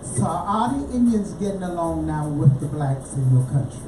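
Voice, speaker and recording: A woman hosting a call-in radio show, chatty and loud when she announces. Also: deep voice, expressive, normal speed, noisy background. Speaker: radio host. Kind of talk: casual radio interview. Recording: echoey room, mic far off, music behind.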